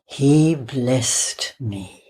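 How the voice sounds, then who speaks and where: An Irish woman actor playing a haunted, persecuted outcast madwoman in a plaintive, deliberate dramatic poetry reading. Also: a bass voice, warm tone, exaggerated voice. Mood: haunted, forlorn.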